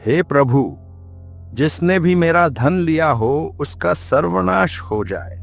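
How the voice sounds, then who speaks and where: A man playing a sorrowful old village father in an animated moral story, speaking plaintively with a creaky voice. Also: fairly high pitch, slow, soft background music, heavily acted. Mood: bitter grief. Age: older.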